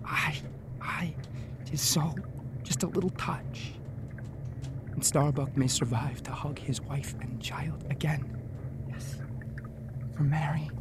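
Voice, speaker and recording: An actor playing a tormented, dutiful first mate of a whaling ship, deliberating in agonized soliloquy in an audio drama. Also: male voice, fairly high pitch, noisy background. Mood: anguished, hesitant.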